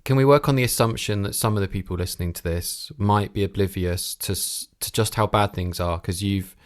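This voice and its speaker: A man with a fairly deep voice presents and interviews for a podcast. He speaks informally, feeling his way through phrases, and the sound is dry with little room around it. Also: fairly quick, dry recording. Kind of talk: conversational podcast intro and interviewing.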